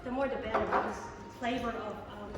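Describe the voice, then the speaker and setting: A woman's voice: on the high side, steady tone, fairly quick. A young Filipino woman chef demonstrating a recipe, talking with many ums and restarts. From Philippines. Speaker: guest chef. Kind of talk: cooking demonstration. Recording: echoey, far from the mic, music behind.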